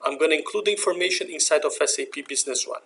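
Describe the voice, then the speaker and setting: A man narrating a software tutorial as an instructor, explaining methodically step by step. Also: fairly high pitch, very fast, gravelly. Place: voice-over for a screen recording.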